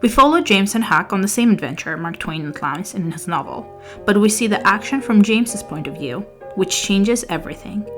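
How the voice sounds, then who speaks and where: A woman's voice: mid-range voice, expressive, normal speed, a bit bright, faint music behind. A woman whose first language is Albanian, talking casually through book reviews with some fillers and restarts, partly reading out summaries. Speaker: book vlogger.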